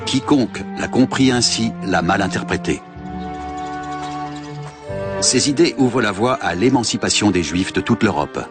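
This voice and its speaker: Deep male voice-over, measured documentary read, music underneath. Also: lively intonation. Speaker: documentary narrator. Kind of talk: scripted documentary narration. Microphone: studio voice-over mic. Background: background music.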